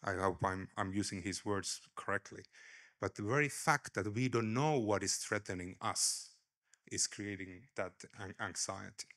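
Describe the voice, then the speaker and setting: A man from eastern Finland with a Finnish accent, speaking as an academic panelist in a halting, reflective, informal way. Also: on the low side, a bit bright. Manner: halting, reflective, informal asides with repeated words. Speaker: academic panelist, border studies researcher. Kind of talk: conference talk to an audience.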